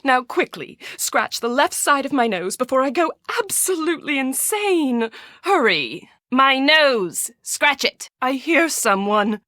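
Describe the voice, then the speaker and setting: Haughty, swooping high-voiced rich lady. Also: big pitch swings, unhurried, pushed and bright, no echo, clean studio sound, exaggerated voice. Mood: demanding, impatient. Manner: imperious, demanding, theatrical, cooing to her dog. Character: haughty, pampered rich woman doting on her Pomeranian. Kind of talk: scripted video game voice acting.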